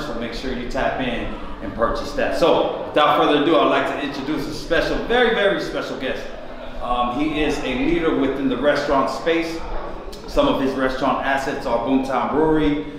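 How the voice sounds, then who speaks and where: A man's voice: medium pitch, noisy background. Upbeat male podcast host in an echoey room. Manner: upbeat, hyping the guest. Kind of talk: podcast host introduction and interviewing. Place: restaurant. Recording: echoey room with long reverb.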